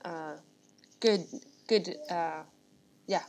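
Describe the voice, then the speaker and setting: A woman's voice: lowish voice, extremely slow, darkish tone, a little hiss or hum. A woman from Sweden, a startup founder chatting as an interview guest, rambling with many restarts. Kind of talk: casual interview chat.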